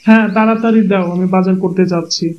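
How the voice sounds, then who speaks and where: A man's voice: sits high, steady tone, fast, a little mellow, close to an everyday voice. A man voicing a doting, easygoing village husband in a cartoon, affectionate and teasing. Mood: eager, agreeable. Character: doting, easygoing village husband, indulgent toward his wife. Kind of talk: cartoon moral-story voice acting. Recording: dull lo-fi sound with clear noise.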